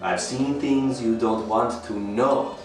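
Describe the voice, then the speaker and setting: A man's voice: medium pitch, slow pace, lightly acted. An actor playing an eccentric, overfriendly, shady man in an audio drama, chatty and cheerfully ominous. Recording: very echoey, distant, faint music behind.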